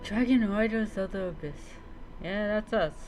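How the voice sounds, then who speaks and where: A woman telling a story in character, reading aloud haltingly with reminiscing asides. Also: medium pitch, darkish tone, soft background music. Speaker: roleplay streamer.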